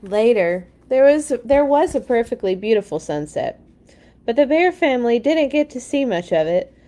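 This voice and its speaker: A woman's voice: fairly high pitch, big pitch swings, normal speed, dark tone. Woman reading storybooks expressively. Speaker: host of children's read-along.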